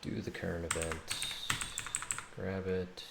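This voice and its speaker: Low, slow-muttering man with creaky voice. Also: level tone. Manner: muttering, halting, fragmentary. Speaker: game developer, stream host. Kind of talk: thinking aloud while live coding. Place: indoors at a computer. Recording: roomy, noisy room with keyboard clicks. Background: loud noise, typing, mouse clicks.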